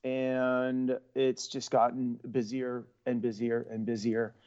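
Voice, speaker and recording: A man's voice: mid-range voice, monotone, takes it slowly, darkish tone. Chatty actor guy in his 40s, grew up speaking portuguese, rambles with lots of ums, kinda dull lo-fi line. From Central California. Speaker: actor guest. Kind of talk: casual interview over a call.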